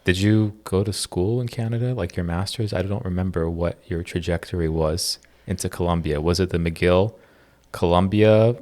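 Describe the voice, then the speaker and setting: Male podcast host, very low voice, chatty and curious, loose casual delivery. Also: normal speed, darkish tone.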